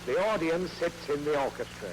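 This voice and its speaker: A man's voice: a little high, moderate pace, close to an everyday voice. An actor playing a long-suffering, pompous father in an old radio comedy, exasperated and lecturing. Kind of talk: 1940s radio comedy acting. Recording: crackly old radio recording.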